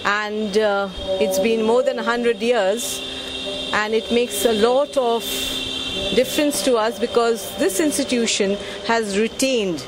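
Woman principal, middle-aged, slightly high, unhurried interview delivery, close handheld mic. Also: poor audio. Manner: measured, earnest, pauses to find words. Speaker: school principal. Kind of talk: news interview. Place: outdoor veranda by trees. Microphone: handheld reporter mic held close.

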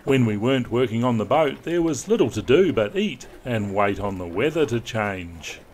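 A man's voice: mid-range voice, animated, normal speed, darkish tone, a little hiss or hum. A middle-aged man hosting a sailing vlog from on board his boat, chatting upbeat with a few fillers. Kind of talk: casual vlog narration and chat. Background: music under the narration.

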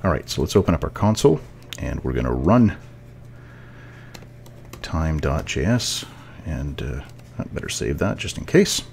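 A man with a deep voice narrates a casual screencast lesson. His intonation moves up and down freely as he explains each step. There is faint noise behind him. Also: sing-song, moderate pace, creaky, long pauses, a little mellow. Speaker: programming instructor.

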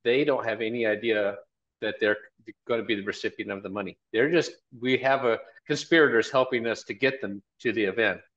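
A man's voice: lowish voice, brisk, fairly bright tone. A man in his fifties speaking earnestly as a guest in a casual video-call interview, often repeating his phrases. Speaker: interview guest, college marketing director and event organizer. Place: small indoor office, video call. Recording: dull, lo-fi call audio.

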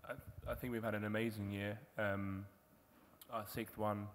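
Male team manager, deep and utterly monotone, measured answers, hall reverb. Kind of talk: panel guest talk. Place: large hall on stage before an audience. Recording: long hall reverb, faint crowd hum.

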